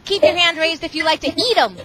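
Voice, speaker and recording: A woman's voice: extremely high pitch, pushed and bright. A middle-aged woman reading aloud to a class of children over a video call, exclamatory and often asking them questions. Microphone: video call microphone.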